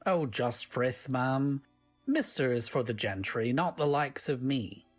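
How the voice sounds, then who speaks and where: A woman's voice: a bass voice, animated, measured pace, breathy edge, faint music behind, full character voice. A woman playing a humble, courteous manor servant in an old-time radio drama, deferential and old-fashioned in manner. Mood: polite.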